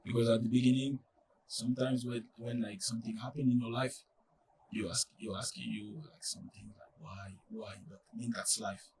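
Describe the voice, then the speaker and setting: A young man from France with a French accent, speaking English as a second language in a casual interview, halting and full of fillers. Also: medium pitch, level tone, gravelly, darkish tone, distant mic, slight background noise, low-quality recording. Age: young adult. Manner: halting, many fillers and restarts. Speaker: college soccer player, guest.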